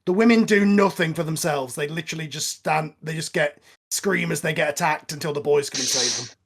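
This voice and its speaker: Higher-voiced man in casual banter. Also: a little fast, vocal fry, slightly rough. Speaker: commentary track participant. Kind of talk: casual film commentary, bantering.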